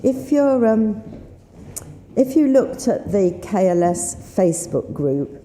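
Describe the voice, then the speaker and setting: A woman with a slightly husky voice, leading a synagogue service in a chatty, unhurried way with fillers. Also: medium pitch, big pitch swings, mellow. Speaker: service leader. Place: synagogue sanctuary. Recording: roomy sanctuary sound with faint noise.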